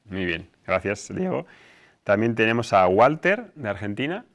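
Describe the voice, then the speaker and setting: A man hosting and introducing a student discussion, explaining things informally and somewhat haltingly. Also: lowish voice, sing-song, a bit bright. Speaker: host, teacher.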